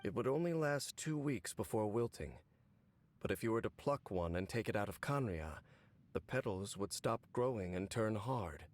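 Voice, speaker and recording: A man voicing a brooding, knowing wanderer in a video game, speaking in a measured, grave and cool way. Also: lowish voice, lively intonation, a little mellow, close to an everyday voice. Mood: solemn, wistful. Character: brooding, knowing male wanderer from a fallen nation. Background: game music.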